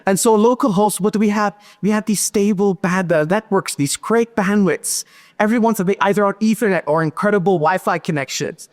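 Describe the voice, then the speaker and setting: Lively man speaking on a conference stage. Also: high-pitched, normal speed. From Pakistan and Switzerland. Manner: lively, joking with the audience. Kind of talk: tech conference talk. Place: large conference hall stage. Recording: hall reverb.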